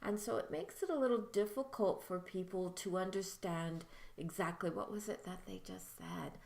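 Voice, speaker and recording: Soft-voiced woman teaching, echoey room. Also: fairly low pitch, normal speed, vocal fry. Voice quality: soft-spoken. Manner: friendly, chatty, with fillers. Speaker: mediumship teacher. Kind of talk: casual instructional talk to camera.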